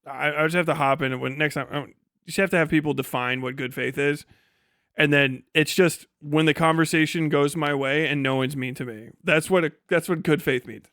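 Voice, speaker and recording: A man's voice: on the high side, fairly even intonation, brisk, a bit bright, dry recording, studio quality. A man giving casual, opinionated livestream commentary in a blunt, sarcastic and profane way. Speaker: livestream host reacting to a debate video.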